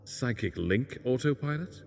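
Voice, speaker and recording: A man playing a scruffy, sharp-witted castaway from a crashed spaceship in a fan sci-fi audio drama, guarded and probing in manner. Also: mid-range voice, expressive, measured pace, vocal fry. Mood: baffled, curious. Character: scruffy stranded male alien spaceship crewman, wary, sharp-witted.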